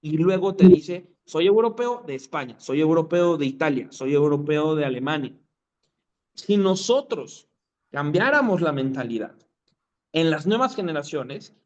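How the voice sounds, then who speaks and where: A man's voice: high-pitched, a little mellow. A man talking as a guest on an online talk show, emphatic, repeating his points for effect. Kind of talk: conversational commentary. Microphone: video call. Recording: muffled lo-fi audio.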